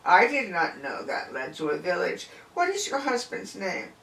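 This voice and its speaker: A man's voice: high-pitched, wildly swooping pitch, normal speed, gravelly, bright, forceful tone, pitched above the performer's own voice, heavily acted. A man voicing an anxious, weary village wife in a folk tale, with emphatic exclamations. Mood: curious. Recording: distant, echoey room with faint noise.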